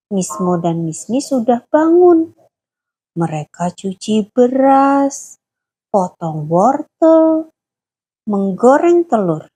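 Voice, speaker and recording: A woman storyteller narrating a children's bedtime-style tale gently, pausing to explain words to a child listener. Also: medium pitch, slightly rough.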